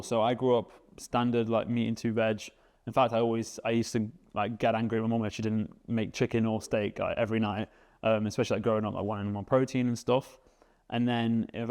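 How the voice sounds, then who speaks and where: A man, chatting casually as a guest, relaxed and rambling with lots of fillers. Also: fairly low pitch. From England. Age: 20s. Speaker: guest, vegan cook. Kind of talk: casual interview chat.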